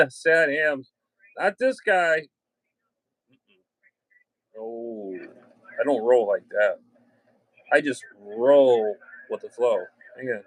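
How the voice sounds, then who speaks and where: A man's voice: mid-range voice, expressive, very slow, long pauses, no echo, rough recording. A man hosting a casual hobby livestream, talking in a blunt, slangy way with some swearing. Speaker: livestream host and ham radio hobbyist.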